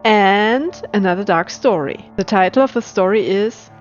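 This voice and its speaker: Woman narrating a dark story over music. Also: mid-range voice, moderate pace, muffled recording. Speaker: storyteller and channel host. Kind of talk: scripted dramatic story narration.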